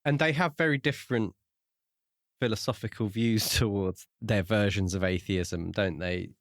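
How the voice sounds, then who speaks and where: A man co-hosting a podcast, talking through a book in a measured, thoughtful, conversational way. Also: lowish voice, no room sound, clean studio sound. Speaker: podcast co-host. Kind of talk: casual book discussion.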